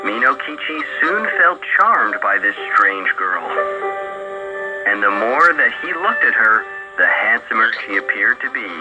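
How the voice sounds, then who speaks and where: A man narrating a scripted literary tale in a measured storytelling manner for a radio broadcast. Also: mid-range voice, very animated, highs cut off. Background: loud music.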